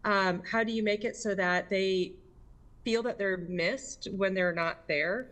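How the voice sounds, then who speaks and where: An American woman with a mid-pitched voice speaks as an interviewee. She is conversational and candid, leaning on rhetorical questions and quick check-ins with the listener to press her points. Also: fairly bright tone. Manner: candid, emphatic, often adds "right?" and rhetorical questions. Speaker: interviewee, district governor of a service club.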